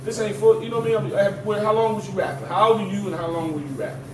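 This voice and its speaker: A slightly high-voiced man talks in casual, slangy interview style. He is emphatic and likes to repeat a phrase to drive it home. The sound is roomy and a bit away from the mic. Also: rapid-fire, background noise. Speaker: battle rapper being interviewed. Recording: roomy, distant mic, music underneath.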